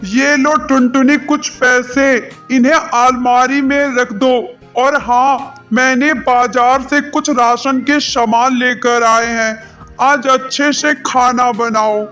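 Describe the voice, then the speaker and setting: Honest farmer bird husband, male performer: cartoon voice, very high, slow and deliberate, over music. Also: flat delivery, sharp tone, clean tone, heavily acted. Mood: pleased. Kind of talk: Hindi moral-story cartoon dubbing. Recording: music behind voice.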